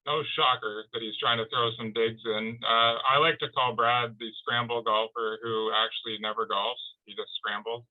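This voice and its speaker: Thin phone-like sound, man, mid-pitched voice, casual joking banter, sports show co-host. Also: steady tone, normal speed, hardly any pauses.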